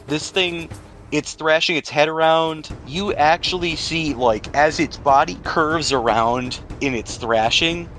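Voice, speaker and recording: A man's voice: mid-range voice, fairly bright tone, slight background noise. A man acting as game master, narrating the action and rules of a tabletop game in a conversational, descriptive way. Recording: background music bed under voice. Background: music.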